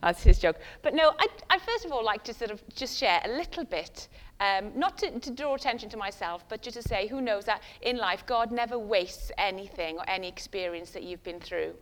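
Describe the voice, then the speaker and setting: A woman's voice: mid-range voice, expressive, brisk, fairly bright tone. A middle-aged woman from West Wales with a Welsh accent, a first-language Welsh speaker, giving a chatty, self-deprecating sermon with many fillers and restarts. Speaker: guest speaker.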